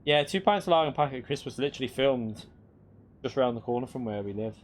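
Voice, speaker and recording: A man's voice: mid-range voice, lively intonation, fast talker. A young man with a Northwest English accent, chatting casually with his viewers during a sim racing stream and laughing easily. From England. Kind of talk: casual live-stream chat.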